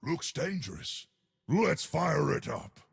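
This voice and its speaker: Casting: simple, boastful game giant of stone; gravelly, slow, wildly swooping delivery. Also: male voice, very animated, full character voice. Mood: jolly. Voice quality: gravelly, husky. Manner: slow, hammy, booming delivery. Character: huge jolly stone giant, boastful, simple-minded, eager to fight. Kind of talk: video game character voice lines.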